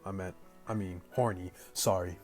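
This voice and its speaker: Male let's-player, deep voice, casual story reading with slangy reactions, faint music underneath. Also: normal speed. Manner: slangy, animated asides, breaks into laughter. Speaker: gaming video host reading a visual novel aloud.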